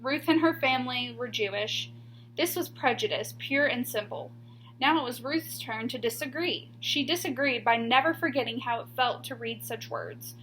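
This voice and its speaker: A woman teacher reading a children's picture book aloud expressively, with deliberate, emphatic pauses on key words. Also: a little high, a bit bright, a little hiss or hum, poor audio.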